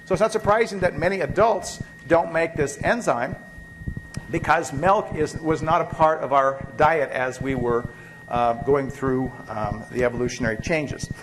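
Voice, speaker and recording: Man, professor, slightly high voice, coming down with a cold, loose lecture style, noisy lecture tape. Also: normal speed. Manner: loose, rambling, many fillers and restarts. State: catching a cold, occasional coughs. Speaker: biochemistry professor. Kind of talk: university lecture. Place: lecture hall.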